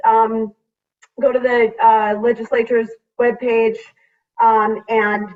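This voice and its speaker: A woman giving unscripted remarks as a state representative on a panel, heard over a thin call connection. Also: mid-range voice. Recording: thin phone-like call, distant mic.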